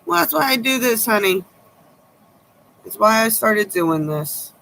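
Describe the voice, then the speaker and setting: A woman tarot reader with a low voice, giving blunt, sharp-tongued advice in a casual chat to her viewers. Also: sing-song, a little slow, vocal fry, a little hiss or hum.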